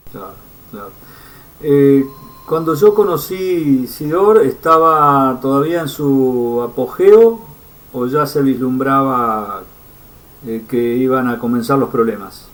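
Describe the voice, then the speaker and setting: A man's voice: mid-range voice, slow, far from the mic. A man hosting an interview, friendly and hesitant, filling with "eh" and "ujú". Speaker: interview host.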